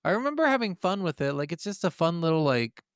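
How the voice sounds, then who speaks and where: A male podcast co-host with a fairly high, clean voice, recorded close and dry. He chats casually about games with real enthusiasm and swears freely as he goes. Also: big pitch swings, fast talker, clean tone, no echo. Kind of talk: casual gaming podcast chat.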